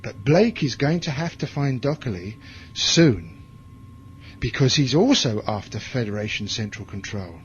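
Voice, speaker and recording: Actor as hard, curt space commander, dull recording. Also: male voice, medium pitch, expressive, unhurried, slight background noise, natural voice. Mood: commanding, grim. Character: hard, bitter male military commander in space. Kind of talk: science fiction audio drama acting. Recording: dull lo-fi audio drama recording, music behind.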